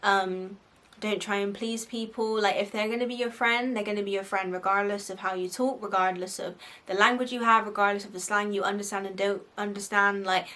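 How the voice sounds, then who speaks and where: A woman's voice: mid-range voice, steady tone, a little fast, pushed and bright. A young woman with a Bristolian accent, hosting a faith podcast and giving frank, casual advice full of "like" fillers. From Bristol, England. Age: young adult. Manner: chatty, frank, lots of "like" fillers, frequent laughs.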